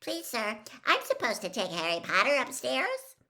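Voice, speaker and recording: A squeaky little schoolboy. He is eager and nervous, starstruck, with a high, piping voice that jumps around. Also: wildly swooping pitch, normal speed, bright, forceful tone, full character voice. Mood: nervous, pleading.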